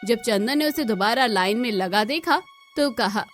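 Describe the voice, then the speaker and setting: Woman narrator, high pitch, animated intonation, scripted children's cartoon storytelling. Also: moderate pace, faint music behind.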